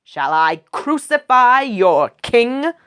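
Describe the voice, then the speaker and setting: Woman voicing a male roman governor, uneasy, pitched up, questioning, storybook reading. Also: sing-song, slow, a bit bright, smooth, full character voice.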